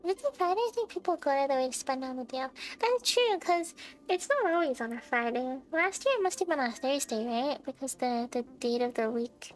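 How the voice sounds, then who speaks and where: A woman's voice: high voice, animated, a little fast, hardly any pauses, poor audio. A young woman casually chatting on a live stream as she plays a game, talking to viewers in a playful, chatty way. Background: game music.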